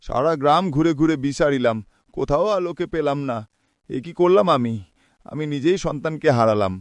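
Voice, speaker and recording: A man's voice: on the high side, moderate pace, no room sound, close to an everyday voice. A man voicing a short-tempered woodcutter father in a cartoon, scolding and then calling out desperately. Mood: remorseful. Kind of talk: cartoon voice acting.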